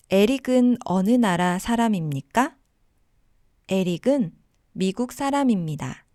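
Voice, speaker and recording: A woman teaching a language lesson, giving model sentences and simple explanations in a deliberate way for learners. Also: medium pitch, a little mellow, no echo, clean studio sound.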